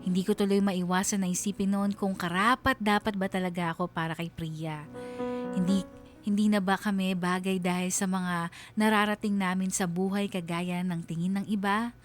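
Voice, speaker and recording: A man's voice: high-pitched, fairly even intonation, normal speed, soft background music, lightly acted. An actor playing a troubled young boyfriend who narrates his love story in a reflective, confessional way for a radio drama. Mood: anxious, reflective.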